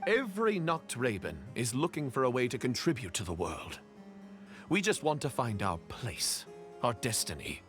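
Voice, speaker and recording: A man's voice: medium pitch, fairly bright tone. A man voicing an earnest, devoted actor in a video game, formal and dramatic in his speech. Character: earnest, theatrical male actor follower with grand devotion.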